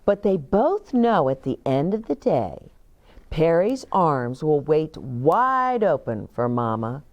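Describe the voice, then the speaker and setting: Slow, expressive older woman storyteller. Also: low voice, muffled recording. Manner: deliberate, simple explanations as to small children. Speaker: children's storytime reader and host. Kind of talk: expressive picture-book read-aloud with asides to young children. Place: indoor bookshop-like set with shelves, seated in armchair.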